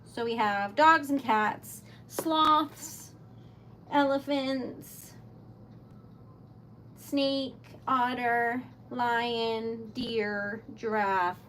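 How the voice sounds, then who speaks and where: A woman's voice: fairly high pitch, very slow, fairly bright tone, a little hiss or hum. A woman with a Rhode Island accent hosting a casual craft livestream, chatting to her viewers, laughing often and rambling as she goes. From New England. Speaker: livestream host. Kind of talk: casual chat while crafting.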